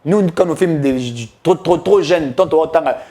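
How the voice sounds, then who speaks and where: High-voiced, emphatic male commentator. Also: unhurried, warm tone. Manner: emphatic, declamatory, mixing French with Lingala. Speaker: political commentator. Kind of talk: impassioned political commentary.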